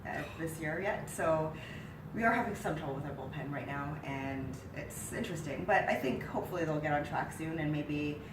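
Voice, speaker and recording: A woman's voice: low-pitched, moderate pace. A woman in her thirties with a cold and a nasal voice, chatting animatedly as co-host on a living-room couch. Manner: chatty, animated when excited. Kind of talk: casual sports chat. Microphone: far camera mic. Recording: roomy, distant, hissy.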